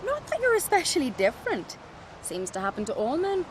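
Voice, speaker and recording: An actress voicing a bold, confident young noblewoman who talks briskly and teasingly. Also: high-pitched, wildly swooping pitch, slight background noise. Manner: brisk, teasing and sure of herself.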